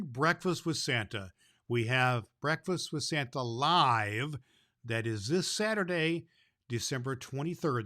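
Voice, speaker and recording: A man playing a kindly old Santa Claus, hosting a children's Christmas show in a folksy, deliberate storyteller's manner. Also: mid-range voice, a bit bright, no room sound, clean studio sound, natural voice. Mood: friendly, inviting. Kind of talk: scripted announcements and storybook reading for children.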